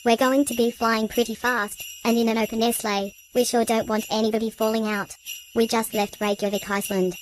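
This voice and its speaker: A woman's voice: mid-range voice, a little hiss or hum, rough recording. A woman hosting as one of Santa's elves, giving scripted in-character introductions. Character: Santa's chief elf named Russell, cheerful delivery helper. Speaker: guest host.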